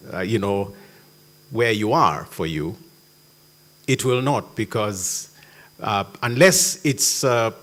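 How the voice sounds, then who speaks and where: A man giving a conference talk in a hall, measured with sudden emphatic jumps. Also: fairly low pitch, fairly bright tone. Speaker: conference speaker.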